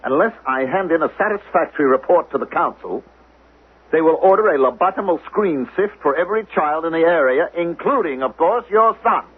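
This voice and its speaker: An actor playing a smooth, insinuating council agent in 1970s radio drama, deliberate and politely menacing. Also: male voice, on the high side, animated, a little hiss or hum, close to an everyday voice. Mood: veiled threat. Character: smooth, insinuating male council agent posing as language teacher. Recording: muffled lo-fi 1970s radio broadcast.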